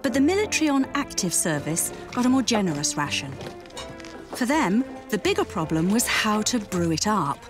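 A woman narrates a documentary in a clear storytelling manner, her pitch moving a lot. Music plays clearly underneath her studio voice-over. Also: very animated, moderate pace. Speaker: TV presenter.

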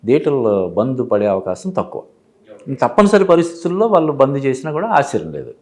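A man giving explanatory commentary as an interview guest, halting and repeating phrases as he goes. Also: medium pitch, very animated, a little mellow. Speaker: film industry analyst, studio guest. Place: TV studio.

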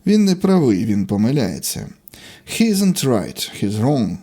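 A man's voice: medium pitch, big pitch swings, measured pace, dark tone, breathy edge. A man teaching a language lesson, reading out short, separate example sentences in an instructional way. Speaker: English teacher.

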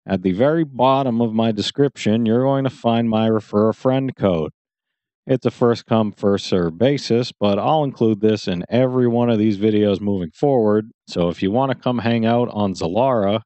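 A man's voice: fairly low pitch, slow pace, dark tone, dry recording, dull audio. A man who makes gaming videos, chatting casually to viewers in a friendly outro.

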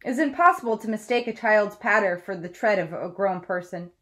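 A woman in her thirties reads a book aloud as a teacher would. Her voice sits at an average pitch for a woman. She phrases each sentence deliberately, in a measured storytelling delivery. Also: lively intonation, fairly bright tone. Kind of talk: read-aloud of a children's biography. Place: small room at home, indoors.

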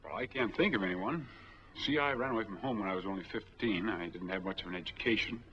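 An American actor in his forties playing a smooth, easygoing drifter in a 1940s film drama. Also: on the low side, quick pace, natural voice. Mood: easygoing, plain. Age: 40s. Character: smooth, easygoing drifter applying for bank money. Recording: dull old 1940s film soundtrack.

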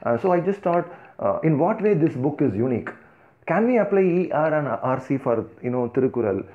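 A man's voice: on the high side, big pitch swings, fairly quick. A man from Tamil Nadu with a Tamil-flavored Indian accent, giving a free-flowing talk over slides, thinking aloud with many fillers and restarts. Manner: thinking aloud with frequent "uh" and "you know", restarts and emphatic lists. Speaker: organization founder giving a talk. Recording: tinny phone-like audio.

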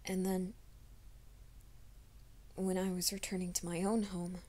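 A woman voicing a troubled young man who hides a werewolf nature, in a soft, hushed ASMR roleplay, often whispering. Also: on the low side, little pitch movement, a little slow. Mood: anxious whisper. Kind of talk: fan audio drama, ASMR roleplay.

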